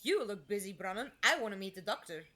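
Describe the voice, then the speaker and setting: A woman's voice: medium pitch, expressive, quick pace, noisy background, close to an everyday voice. A woman playing a spirited, sceptical young ruler's daughter in an audio drama, frank and inquisitive. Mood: teasing.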